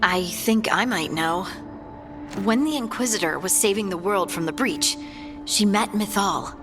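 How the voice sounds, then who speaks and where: A woman's voice: medium pitch, a little slow, music in the background, lightly acted. A woman playing a candid companion in a fantasy game, conversational and matter-of-fact. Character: candid, informed female companion in a fantasy party. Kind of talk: naturalistic game dialogue.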